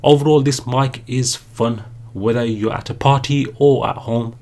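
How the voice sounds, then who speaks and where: A man's voice: medium pitch, fairly even intonation, normal speed, darkish tone. A man narrating a scripted product review as the host of a review channel.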